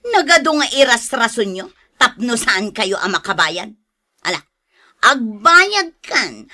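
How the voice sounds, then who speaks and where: A woman's voice: a little high, pushed and bright, full character voice. A woman acting a bossy, merciless moneylender and nagging wife in a radio drama, with a scolding, demanding manner.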